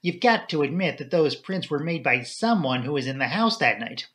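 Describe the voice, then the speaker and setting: A man's voice: a little high, expressive, moderate pace, a bit bright. A man voicing a grave, fussy local police inspector in an audiobook, plain-spoken, blunt and stubborn. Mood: annoyed, fussy. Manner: blunt, matter-of-fact, stubborn.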